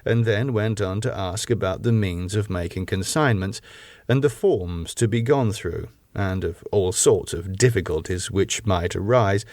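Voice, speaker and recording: A man narrating a gothic novel as an audiobook, in a measured, formal storytelling manner on a close microphone. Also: low voice, a little mellow, no room sound.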